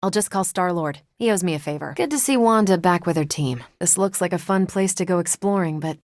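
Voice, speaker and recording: Low-voiced, wisecracking superhero woman, played by an actress. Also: fairly quick, darkish tone, dry recording, studio quality, close to an everyday voice. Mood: wry, casual. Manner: wry, casual, quippy. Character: confident wisecracking female superhero soldier, adult, brash but friendly. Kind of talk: video game voice acting.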